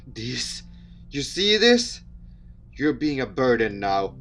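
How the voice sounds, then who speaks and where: A man's voice: medium pitch, big pitch swings, slow, fairly bright tone, full character voice. Man acting a defensive, stammering young guy over music. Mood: strained, curt. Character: troubled, prickly young man pushing a friend away. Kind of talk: visual novel dialogue read aloud.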